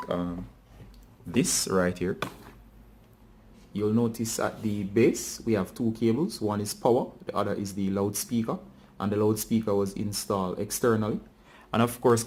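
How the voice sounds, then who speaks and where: A man's voice: lowish voice, a little mellow. A man presenting a casual hands-on how-to tutorial, talking directly to viewers.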